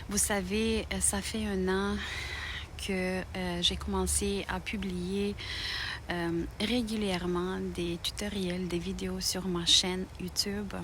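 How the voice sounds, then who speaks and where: A middle-aged woman from Moldova, speaking French with a Romanian accent, talks to her channel's viewers in a hesitant way, with many pauses and restarts. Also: fairly low pitch, flat delivery, a bit bright, a little hiss or hum. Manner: halting, many fillers and restarts. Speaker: knitting channel host. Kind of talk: personal thank-you video.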